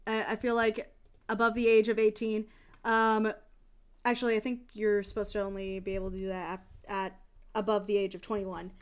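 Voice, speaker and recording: A woman's voice: medium pitch, little pitch movement, fairly quick, clear, resonant tone. A young adult woman streamer chatting casually, rambling and candid with frequent restarts. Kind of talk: casual chat.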